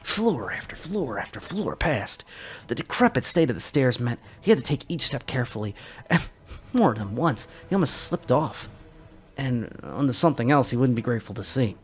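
A man narrating a science fiction short story as a dramatic reading, measured and expressive. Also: medium pitch, breathy edge.

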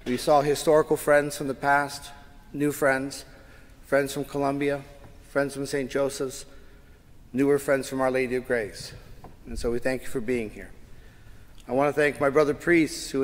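A middle-aged man, a priest, delivering a funeral homily from the pulpit in a measured, solemn way, rising in emphasis at key points. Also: mid-range voice, flat delivery. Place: large church, indoors. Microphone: pulpit microphone. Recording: long church reverb.

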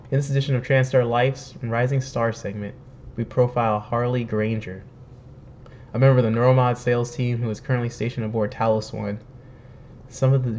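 Slightly hoarse young man streaming a game. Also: fairly low pitch, a little mellow, dull audio, a little hiss or hum. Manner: chatty, joking, laughs at himself. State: slightly hoarse, says he is sick and losing his voice. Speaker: streamer playing a video game. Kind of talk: casual let's-play commentary, reading in-game text aloud. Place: home room, webcam in corner.

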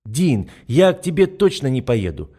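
A man's voice: mid-range voice, sing-song, moderate pace, mellow, husky. A man voicing a tired, irritable young woman schoolteacher who grumbles in an audiobook. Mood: weary. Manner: grumbling, snappy.

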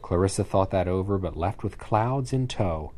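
A man's voice: deep voice, lively intonation, moderate pace, dark tone. A man reading a rhyming children's story aloud with theatrical, dramatic phrasing. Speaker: storybook reader. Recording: clearly noisy added ambient sound effects. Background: sound effects, ambience, animal sounds.

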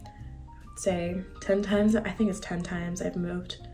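A woman's voice: on the low side, level tone, takes it slowly, mellow. A 21-year-old woman vlogger talking in a casual, slangy, confiding way, as in a chatty sit-down monologue. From several countries, including Argentina and Bosnia. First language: bilingual English and Spanish. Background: background music.

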